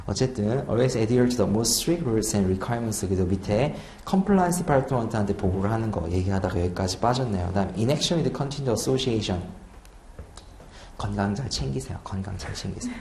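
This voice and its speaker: A man's voice: lowish voice, animated, measured pace, darkish tone. A man in his twenties, an exam prep lecturer, chatting casually and laughing at himself during a lecture. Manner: chatty, self-deprecating, laughs often. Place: lecture room. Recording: echoey room, faint hum.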